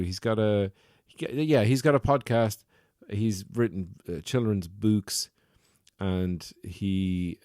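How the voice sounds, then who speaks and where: A man's voice: low voice, a little mellow, no room sound, clean studio sound. A man hosting a solo comedy podcast, talking in a wry, rambling, self-mocking way. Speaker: podcast host.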